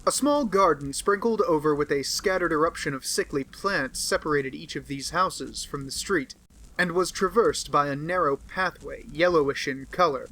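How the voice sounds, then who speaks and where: A man reading a classic detective novel aloud as a narrator, with measured storytelling. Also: fairly high pitch, a bit bright, low-quality recording. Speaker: audiobook reader.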